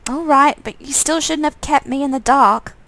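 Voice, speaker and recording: Woman voicing a high, creaky, wary game heroine. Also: moderate pace, fairly bright tone, no echo. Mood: questioning, annoyed.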